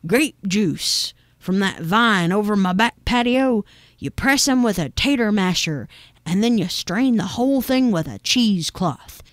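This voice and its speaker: A woman's voice: medium pitch, animated, unhurried, no room sound, clean studio sound, lightly acted. Folksy, unbothered old neighbor lady, put on by a woman. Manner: folksy, rambling, matter-of-fact. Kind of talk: acted quote in a humorous story.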